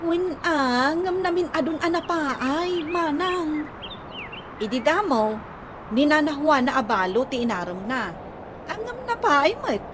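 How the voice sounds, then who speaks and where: An actress playing a young, fretful younger sister in a comedy radio drama, chatty and complaining. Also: female voice, high-pitched, expressive, a little slow. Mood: exasperated. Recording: clearly noisy ambience behind.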